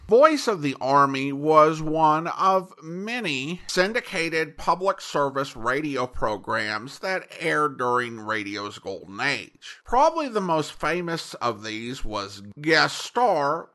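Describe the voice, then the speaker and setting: A man introduces a podcast as its host in a bright, forward voice. His intonation swings widely, and his delivery is measured and unhurried. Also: on the high side.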